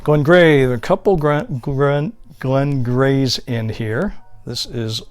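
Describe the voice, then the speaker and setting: Guy casually going thru his collection, listing stuff item by item, medium pitched voice, no script. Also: takes it slowly, dry recording, faint music behind. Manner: unscripted, listing titles and labels item by item. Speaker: record collector presenting his finds. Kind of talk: casual show-and-tell narration.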